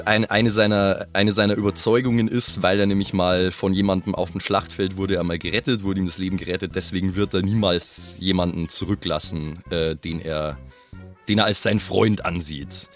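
A man casually describing a game character as a player, colloquial and thinking aloud. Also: low-pitched. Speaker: tabletop role-playing podcast player.

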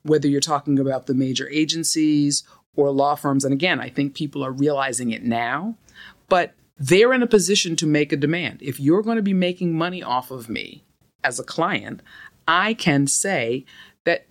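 Middle-aged New woman, deep soothing voice, unhurried and confident. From United States. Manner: calm, measured, self-assured. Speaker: podcast guest, law firm partner. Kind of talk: casual interview conversation.

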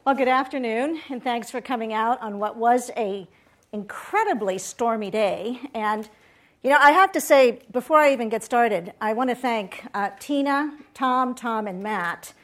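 A woman's voice: fairly high pitch, lively intonation, unhurried. A middle-aged woman from Puerto Rico giving a chatty guest talk to students, often checking in with the audience. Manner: chatty, asks audience questions. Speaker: guest speaker. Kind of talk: lively campus talk.

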